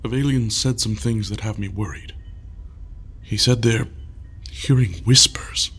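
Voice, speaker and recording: Husky low voiced guy, brooding anxious young dude, short tired answers. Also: steady tone, measured pace, fairly bright tone. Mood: weary. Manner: weary, guarded and terse. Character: brooding young man, anxious, proud, self-pitying. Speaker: troubled leader. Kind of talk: audio drama acting.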